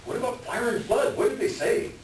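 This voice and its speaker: A man's voice: extremely high pitch, a little fast. A man playing a flustered doctor who is cornered and in debt, in a staged play reading, sliding from professional patter into exasperated stammering. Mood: anxious questioning. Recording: echoey room, distant mic, faint noise.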